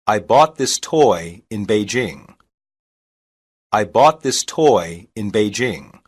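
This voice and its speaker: A reader saying short English practice sentences slowly and evenly, each one twice, for a language lesson. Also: mid-range voice, expressive, slightly rough. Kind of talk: slow repeated phrase reading.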